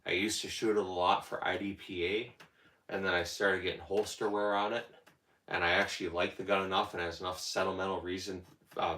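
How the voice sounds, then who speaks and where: A man's voice: low-pitched, bright, forceful tone, echoey room. A man hosting a casual livestream, rambling and answering viewers' comments as they come in. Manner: rambling, talks back to chat comments. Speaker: livestream host.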